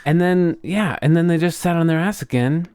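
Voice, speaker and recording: A man's voice: on the high side, normal speed, darkish tone, clean tone, dry recording, clean studio sound. An American man co-hosting a podcast in a casual chat, speaking frankly with the odd swear word. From United States. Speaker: podcast co-host.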